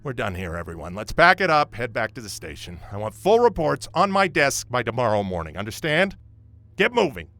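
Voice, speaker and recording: An actor playing a brusque, scornful police sergeant who lectures with dismissive authority in an audio drama. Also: male voice, medium pitch, sing-song, brisk, fairly bright tone, no echo. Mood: commanding. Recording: music behind the voice.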